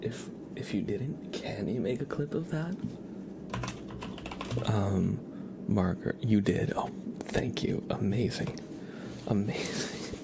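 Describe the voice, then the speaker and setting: Hushed, gravelly low-voiced man streaming ASMR. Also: lively intonation, slow, long pauses, background noise. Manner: hushed, amused, chatting to viewers. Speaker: live streamer, ASMR host. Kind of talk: casual ASMR livestream chat, soft-spoken to half-whispered. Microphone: close ASMR microphone.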